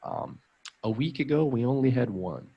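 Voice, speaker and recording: A man's voice: medium pitch, lively intonation, moderate pace, breathy edge, muffled recording. An American man hosting a live stream as an English tutor, chatting in a friendly, upbeat way with frequent ums. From United States. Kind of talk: casual live-stream chat.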